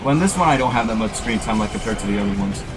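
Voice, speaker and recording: Young guy hosting a reaction vid, excitable, animated pitch, bit of music in back. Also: racing, poor audio. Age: young adult. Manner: excitable, breaks into mimicked voices and shouts. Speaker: host, amateur voice actor. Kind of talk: casual reaction commentary.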